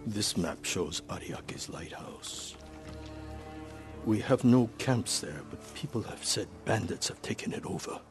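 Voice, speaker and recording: Middle-aged monk, lowish voice, mild, attentive, game dialogue over faint music. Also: male voice, unhurried. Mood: concerned. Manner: deferential, calm. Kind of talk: dramatic video game acting.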